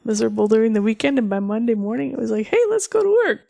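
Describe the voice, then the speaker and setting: A woman's voice: fairly high pitch, sing-song, racing, vocal fry, mellow. A woman chatting casually as a podcast co-host. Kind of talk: casual chat.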